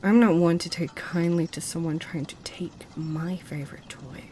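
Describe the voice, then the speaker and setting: A woman playing a possessive, coolly confident succubus in an ASMR roleplay, speaking with deliberate, dry threat. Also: on the low side, steady tone, noisy background, natural voice. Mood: cool, stern. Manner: cool, deliberate, dryly threatening.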